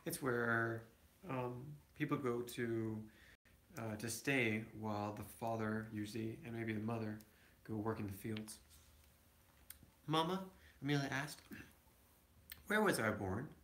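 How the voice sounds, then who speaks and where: A man reading a children's picture book aloud as a father to his kids, a little halting, with casual asides. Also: mid-range voice, fairly bright tone, a little hiss or hum. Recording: echoey room, home recording.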